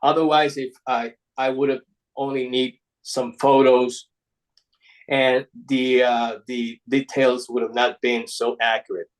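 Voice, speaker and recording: A man from Ecuador speaks English at a slow pace with an Ecuadorian Spanish accent. His voice sits at a middling pitch. He presents informally as a guest, halting and restarting words as he goes. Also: flat delivery, low-quality recording. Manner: halting, with repeated word restarts. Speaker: guest presenter, paper model designer.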